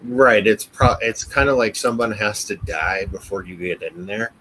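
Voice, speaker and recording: Male co-host, fairly low voice, casual and halting delivery, light background noise. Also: steady tone, pushed and bright, rough recording. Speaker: podcast co-host. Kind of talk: casual chat. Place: at home, family elsewhere in the house.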